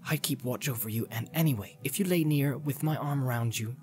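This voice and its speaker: A man voicing an earnest, loyal young hobbit servant in an audiobook, talking plainly and often hushed, with music underneath. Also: medium pitch, a little fast, pitched above the performer's own voice, close to an everyday voice. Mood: hushed, tender.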